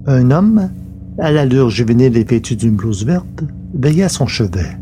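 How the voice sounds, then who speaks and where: A man's voice: medium pitch, sing-song, normal speed, a little hiss or hum. A man reading scripted narration for an audio story, as its narrator. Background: loud music.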